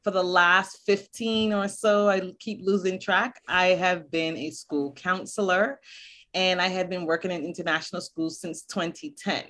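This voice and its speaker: A middle-aged woman school counselor, born in Barbados and raised in Boston, speaking in an earnest, measured way as a podcast guest. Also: on the low side, fairly even intonation, a bit bright. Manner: earnest, measured, listing points. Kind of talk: podcast interview.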